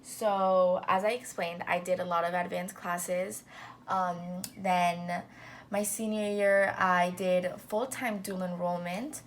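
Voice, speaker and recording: A woman's voice: mid-range voice, slight background noise. A young woman talking casually as a business owner to a new intern, upbeat and full of fillers. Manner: chatty, upbeat, full of ums and "you know".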